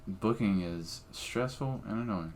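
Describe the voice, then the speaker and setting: Brief: comedian guest, blunt and opinionated, swears freely, lowish voice. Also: male voice, measured pace, darkish tone, slight background noise. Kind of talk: casual podcast chat.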